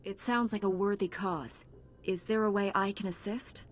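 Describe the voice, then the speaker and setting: A woman playing a noble, self-assured Jedi warrior in a video game, calm and measured. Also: medium pitch, slight background noise, lightly acted. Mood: composed. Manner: composed, measured.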